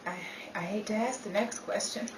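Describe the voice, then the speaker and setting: A woman playing a flustered, teasing 1950s Hollywood woman in an old time radio comedy, often halting and repeating herself. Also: mid-range voice, close to an everyday voice. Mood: bemused. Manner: halting, repeats words in disbelief. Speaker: parade announcer. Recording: echoey, distant, noisy crowd recording.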